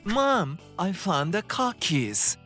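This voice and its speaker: Sweet, timid young boy, played by a woman, soft and hesitant, lively pitch, manga dub. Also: slow, faint music behind, heavily acted. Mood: eager.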